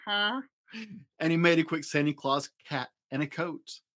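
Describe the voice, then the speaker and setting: A man's voice: on the high side, flat delivery, moderate pace, fairly bright tone. A man reads a children's story expressively, with dramatic, playful emphasis. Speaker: dad storyteller, host. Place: small indoor home studio room.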